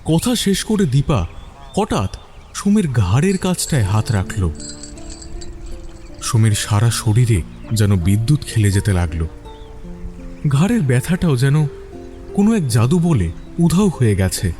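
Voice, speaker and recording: A man's voice: medium pitch, sing-song, a little slow, long pauses, mellow, soft background music. A man narrating a scripted mystery story in a dramatic storytelling style.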